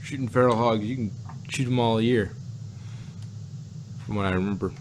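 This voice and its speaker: A man's voice: on the low side, steady tone, moderate pace. Rambling man chatting, noisy recording. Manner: rambling, repeats phrases as he thinks aloud. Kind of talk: casual speculative chat.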